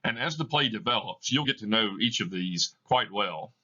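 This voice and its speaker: A male teacher reading a play aloud for a class, explaining slowly and deliberately. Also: on the low side, expressive, pushed and bright, lo-fi sound.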